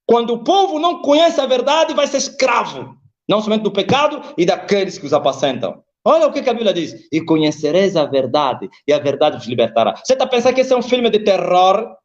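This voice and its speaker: Preacher guy, high voice, big emphatic swings up and down, muffled lo-fi call sound. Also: very animated, fairly quick. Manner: emphatic, swings high and low, quotes scripture. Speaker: apostle, preacher. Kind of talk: preaching in a live video call. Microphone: video call.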